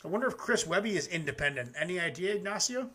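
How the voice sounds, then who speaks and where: A man's voice: sits high, lively intonation, fast, bright, forceful tone, slightly rough. A man hosting a live music reaction show, chatting loosely with plenty of swearing and reading his own comic poems aloud. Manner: loose and profane, with frequent fillers. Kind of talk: casual live-stream chat.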